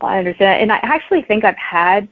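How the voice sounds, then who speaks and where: A woman's voice: medium pitch, animated, fast talker, dry recording. A woman answering casually as a guest author in an interview. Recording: thin, phone-like connection.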